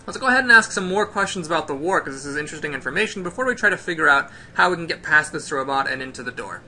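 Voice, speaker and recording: A man's voice: on the high side, animated, racing, bright, forceful tone. A man hosting a story play-through of a game, recapping and explaining like a storyteller.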